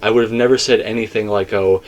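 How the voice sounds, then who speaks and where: A man's voice: lowish voice, fairly even intonation, fast talker, low-quality recording. A man in a casual, rambling fan discussion, repeating himself for emphasis as he co-hosts. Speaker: co-host discussing video game theories.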